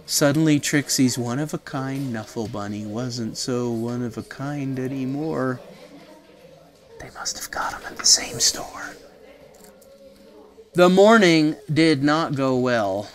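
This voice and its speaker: A woman's voice: very deep voice, takes it slowly. A woman reading a children's picture book aloud, chattily explaining the pictures with casual asides. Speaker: read-aloud storyteller.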